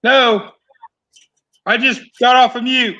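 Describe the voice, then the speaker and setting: High-voiced man on dull lo-fi audio. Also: steady tone, slow pace, sharp tone. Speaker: co-host on a livestream. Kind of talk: casual livestream chat.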